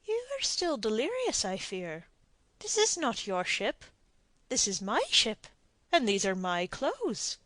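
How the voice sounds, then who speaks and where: A woman's voice: a little high, sing-song, slow pace, a bit bright, no echo, full character voice. A woman reading an audiobook and voicing a self-assured, mocking sea captain with a dry wit. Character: sardonic witty Irish sea captain, man. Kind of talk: audiobook character voice.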